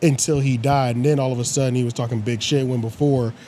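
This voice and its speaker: A man's voice: medium pitch, fairly even intonation, fast talker, mellow, slight background noise. An American man in casual podcast chat as a co-host, slangy and often repeating himself in agreement.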